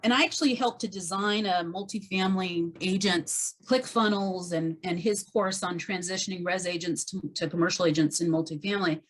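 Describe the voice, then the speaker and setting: A woman's voice: lowish voice, fairly quick, few pauses. A woman caller, a real estate agent, giving conversational advice in an emphatic, explanatory way. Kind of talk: conversational advice on a group call.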